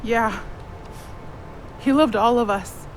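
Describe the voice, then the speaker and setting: A woman's voice: a little high, slow, slight background noise, rough recording. An actress in an audio drama playing a remorseful, caring young woman who talks earnestly and plainly, with a wry streak. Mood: gentle, sad.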